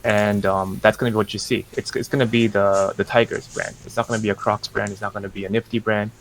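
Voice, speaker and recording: Gravelly low-voiced man explaining plans. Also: little pitch movement, a little hiss or hum, poor audio. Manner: measured, many fillers and restarts. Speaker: NFT project representative. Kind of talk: casual podcast interview.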